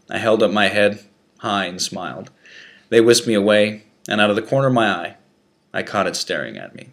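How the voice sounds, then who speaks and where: A man with a low-pitched voice reads a first-person prison memoir aloud. His delivery is deliberate and dramatic, like a narrator working from a script. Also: fairly bright tone. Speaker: narrator reading an article.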